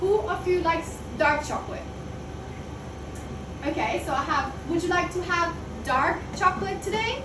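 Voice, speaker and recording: Lady running a chocolate class, talks fast and chatty, roomy echo, lots of noise around. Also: very high-pitched, lively intonation. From Italy. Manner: fast, chatty, upbeat, corrects herself mid-sentence. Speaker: chocolate workshop guide. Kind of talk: leading a group workshop. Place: chocolate factory workshop room. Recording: echoey distant mic with background noise.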